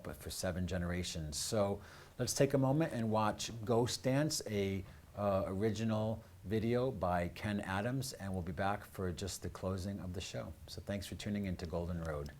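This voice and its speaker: A man hosting a talk show in a conversational, earnest way with measured phrasing. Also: lowish voice. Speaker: radio show host. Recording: clear steady background noise.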